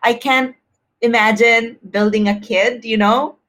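A woman's voice: fairly high pitch, steady tone, a little slow, fairly bright tone. A woman talking casually and personally as a podcast guest, expressively acting out her own thoughts as quotes.

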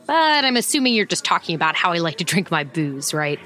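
A woman's voice: fairly low pitch, sing-song, fairly quick, sharp tone. A woman playing a flirty, wisecracking small-town private eye in an audio drama, with a teasing, bantering manner.

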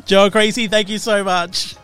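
Upbeat high-voiced host. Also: male voice, little pitch movement, moderate pace, loud music behind the voice. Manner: upbeat, chatty. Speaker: podcast host. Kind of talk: casual interview chat.

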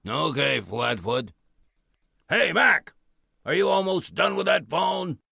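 An actor playing an impatient, wisecracking man in a 1940s-style radio drama, with a raspy voice and urgent manner. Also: fairly high pitch, lively intonation, slow, sharp tone, no room sound, studio quality, exaggerated voice.

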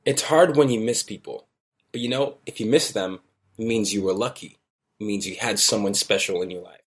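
A man speaking a soft, reflective voice-over line. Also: lowish voice, moderate pace. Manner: soft and reflective, fading away.

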